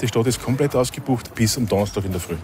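A man's voice: mid-range voice, fast talker, over music. A man giving a colloquial, enthusiastic soundbite outdoors. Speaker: tourism spokesman. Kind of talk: interview soundbite.

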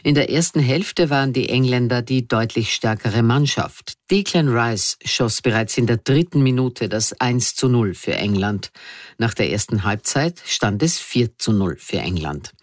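A man reading scripted news in simple language in a measured, structured manner. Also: medium pitch, no echo, clean studio sound. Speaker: newsreader.

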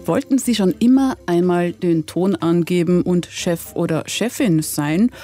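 A woman's voice: fairly low pitch, warm tone. A woman with an Austrian accent presenting a scripted radio programme.

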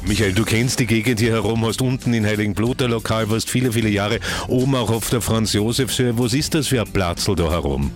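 Austrian regional radio host, conversational interviewer, soft music bed. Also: male voice, fairly low pitch, brisk, few pauses. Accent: Austrian German. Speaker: radio presenter. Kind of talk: live radio interview and hosting.

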